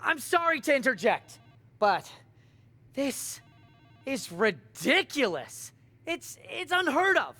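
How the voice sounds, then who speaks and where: Shrill, flustered corporate fusspot. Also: male voice, very animated, slow, long pauses, bright, forceful tone, faint music behind, exaggerated voice. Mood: indignant, flustered. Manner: stammering, fussy, affronted. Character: flustered, indignant fussy corporate official named Troy. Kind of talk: exaggerated video game cutscene acting.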